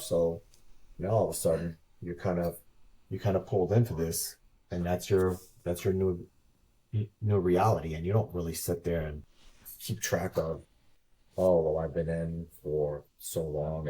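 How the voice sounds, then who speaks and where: Guest: man, 50s, deep and dark-toned, relaxed, restarts his phrases, heard over a video call. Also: normal speed, poor audio. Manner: relaxed, repeats words while thinking. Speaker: interview guest, retired Army officer. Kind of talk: casual interview over a call.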